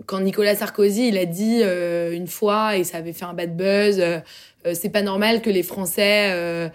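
A woman's voice: mid-range voice, steady tone, moderate pace. A young woman from Paris, a guest in a casual round-table chat, talking loosely with slang and many hesitations. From Paris, France. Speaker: podcast guest.

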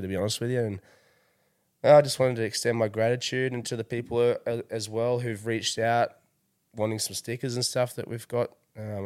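Aussie bloke hosting a podcast, bit congested w a cold, friendly rambling chat. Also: male voice, mid-range voice. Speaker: podcast host.

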